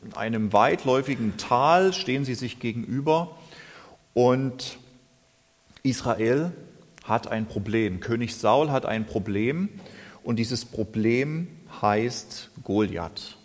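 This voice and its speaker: A man from Germany preaching a sermon in a slow, deliberate and thoughtful way. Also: mid-range voice, expressive. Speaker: lay preacher. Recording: reverberant hall.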